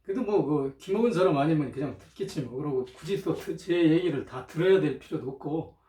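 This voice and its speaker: A man talking in an informal, rambling monologue, hesitant, often clearing his throat, with a slightly strained throat, on a cheap microphone. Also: a little high, lively intonation, a little fast, mellow. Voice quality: strained throat from chronic irritation. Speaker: lecturer, channel host. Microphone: cheap, low-volume microphone. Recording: roomy, distant cheap microphone.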